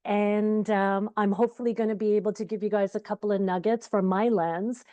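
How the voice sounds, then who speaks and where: A woman's voice: medium pitch, fairly quick, dry recording, lo-fi sound. Upbeat middle-aged woman on a video call. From Uganda, raised in Canada. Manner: energetic, conversational, many fillers. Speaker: conference keynote speaker. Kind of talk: virtual conference talk.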